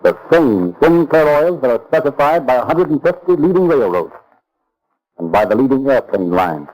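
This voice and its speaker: A man's voice: medium pitch, sing-song, normal speed, darkish tone, poor audio. A man announcing a sponsor's advertisement in polished, emphatic 1930s radio style, on a vintage recording.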